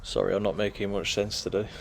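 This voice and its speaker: Gravelly man musing through a graveyard. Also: on the low side, brisk, a little hiss or hum. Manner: musing, reads gravestones aloud, talks to himself. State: losing his voice. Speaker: vlogger touring a graveyard. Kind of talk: casual walking commentary. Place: outdoor churchyard.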